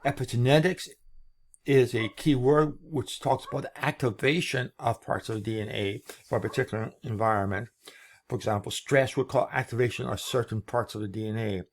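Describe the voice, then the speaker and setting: A man with a medium-pitched voice presents an informal explanation, as if walking someone through what is on a screen. He speaks in short phrases with a halting, unscripted delivery. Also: slight background noise.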